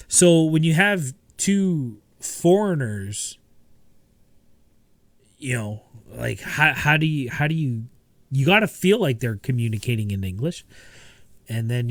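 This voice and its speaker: A man's voice: medium pitch, no echo. Dry-sounding man rambling casually. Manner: relaxed, rambling with many fillers and restarts. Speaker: podcast co-host. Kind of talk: casual chat.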